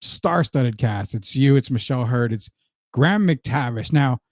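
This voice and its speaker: A man's voice: medium pitch, animated, unhurried, darkish tone, no room sound. Chatty American man hosting a podcast, dry sound. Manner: chatty, promotional patter. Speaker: podcast host. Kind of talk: upbeat show intro and interview.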